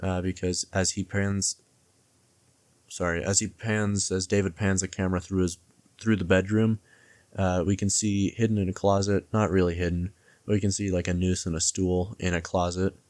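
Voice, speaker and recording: A man's voice: deep voice, level tone, moderate pace, a little mellow, no room sound. A man giving casual video commentary, hesitant and rambling, often correcting himself mid-thought. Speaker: video essayist reviewing and theorizing about a horror series. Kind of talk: casual, loosely scripted commentary.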